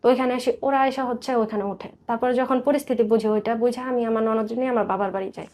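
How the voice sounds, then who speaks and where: Bangladeshi woman kinda high voice, telling her life story in an interview, earnest, lots of detail. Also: steady tone, fast, a little mellow. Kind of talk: personal storytelling in a radio interview.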